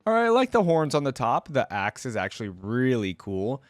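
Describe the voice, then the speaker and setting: Casual reaction guy with swooping, lively pitch. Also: normal speed, dry recording, studio quality. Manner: slangy, thinks aloud, hedging opinions as he goes. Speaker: reaction video host.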